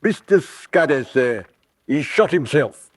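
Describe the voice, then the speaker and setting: An actor playing a deferential, nosy police constable, folksy and probing, in an old-fashioned radio drama. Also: male voice, a little high, animated, slow, no room sound.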